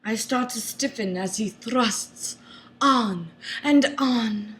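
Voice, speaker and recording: High bright female voice, slow theatrical delivery, exaggerated emphasis, mock-dramatic comic book reading. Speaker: reader narrating a novel.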